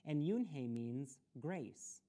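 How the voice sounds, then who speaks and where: A polite, thoughtful young schoolgirl from a storybook, played by a woman. She is shy and speaks without hurry, in a mellow voice with lively pitch. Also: slow. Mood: earnest.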